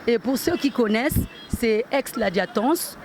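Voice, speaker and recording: A woman's voice: a little high, lively intonation, a little slow, a crowd in the background. A woman from Congo with a Congolese French accent, a shop owner answering interview questions hesitantly, with many fillers. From Republic of the Congo.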